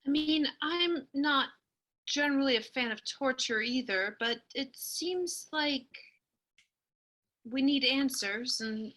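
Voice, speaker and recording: Brief: female player, high bright voice, wry reasoning. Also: slow pace.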